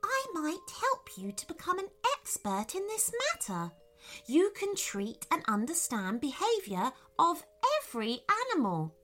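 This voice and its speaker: Woman as a tiny kindly firefly, high and gentle. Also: huge pitch swings, unhurried, faint music behind, heavily acted. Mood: gentle, helpful. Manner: gentle, reassuring, motherly. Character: tiny kindly firefly, concerned, empathetic, wise teacher. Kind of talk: children's storybook character voice.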